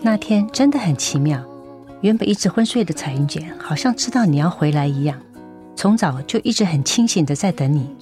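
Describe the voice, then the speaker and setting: A woman's voice: lowish voice, expressive, brisk, dark tone, soft background music, close to an everyday voice. An actress playing a kindly, caring woman church preacher in a Mandarin radio drama. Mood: caring, wistful.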